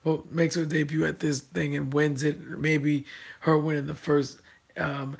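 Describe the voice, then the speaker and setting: A man who has lost his voice, talking through casual wrestling predictions with a hoarse, raspy voice and many ums, restarts and throat clearing. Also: medium pitch, a bit bright. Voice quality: raspy, gravelly. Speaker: wrestling vlogger.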